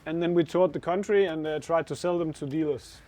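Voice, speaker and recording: A man in his thirties from Denmark, speaking English with a Danish accent as a co-owner of a motorcycle shop, with halting speech full of fillers and laughs. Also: fairly high pitch, fairly even intonation, a little mellow, no room sound, faint music behind. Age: 30s. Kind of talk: casual chat. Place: motorcycle workshop showroom.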